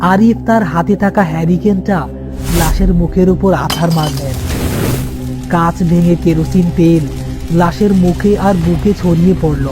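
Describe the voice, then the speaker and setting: High-pitched male horror story narrator.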